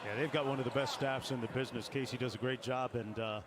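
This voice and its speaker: A man's voice: medium pitch, steady tone, fast. A man calling a basketball game as a broadcast play-by-play announcer. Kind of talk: broadcast sports commentary. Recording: very noisy replayed arena broadcast audio.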